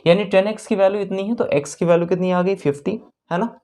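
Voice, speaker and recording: North indian maths tutor, mid pitch voice, going step by step thru exam problems, repeats stuff, drops in english numbers. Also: quick pace, warm tone. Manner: repeats phrases and checks in with learners; mixes Hindi with English numbers and terms. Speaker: maths teacher. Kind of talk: exam problem-solving lesson, explained step by step.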